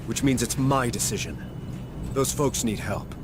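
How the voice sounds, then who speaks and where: A man voicing a gruff, plainspoken marine sergeant in a video game, commanding yet protective, with blunt, folksy military talk. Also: mid-range voice, expressive, a little slow, background noise, close to an everyday voice. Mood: firm, earnest.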